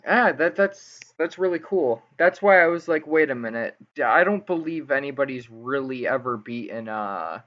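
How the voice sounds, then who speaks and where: A man's voice: medium pitch, expressive, normal speed, hardly any pauses. Casual male interviewer, muffled lo-fi sound. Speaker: interviewer, gaming channel host. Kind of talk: casual gaming interview chat. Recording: dull lo-fi voice chat.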